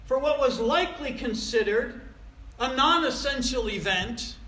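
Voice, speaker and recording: A man's voice: extremely high pitch, animated, a little slow, pushed and bright. A man in his sixties preaching a sermon in a folksy, conversational way, asking rhetorical questions and repeating phrases for emphasis. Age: 60s. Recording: echoey room, faint background noise.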